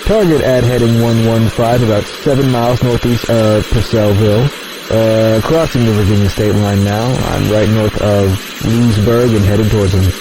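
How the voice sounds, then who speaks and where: An actor playing a conscientious military fighter pilot in an audio drama, speaking in terse radio procedure. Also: male voice, fairly low pitch, steady tone, normal speed, lightly acted. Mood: focused. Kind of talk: modern audio drama acting. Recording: radio chatter over engine noise.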